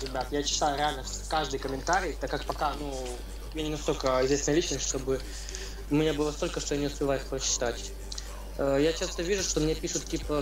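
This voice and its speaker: A young man in his late teens, talking as an interview guest in a rambling, casual way with lots of fillers. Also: on the high side. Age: young adult. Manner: rambling, loose storytelling with many fillers. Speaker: interview guest, game player. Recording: echoey, distant mic.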